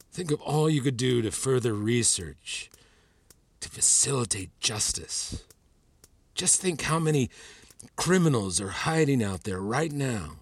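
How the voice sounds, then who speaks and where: A man's voice: mid-range voice, breathy edge, dry recording. An actor playing a persuasive, patronizing male professor and official in an audio drama, speaking articulately and coaxingly. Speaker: actor in a fiction podcast.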